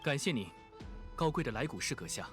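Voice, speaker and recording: A man's voice: mid-range voice, big pitch swings, fairly quick, fairly bright tone, faint music behind. A man playing an earnest young hero who addresses an assembly in a video game, solemn and impassioned. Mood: respectful. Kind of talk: dramatic game oratory in Mandarin.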